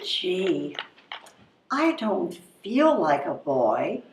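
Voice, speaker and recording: A woman's voice: on the low side, big pitch swings, extremely slow, full character voice. A woman playing a puzzled, skeptical newborn baby in a readers theatre comedy, asking dry questions. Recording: echoey stage recording with faint noise.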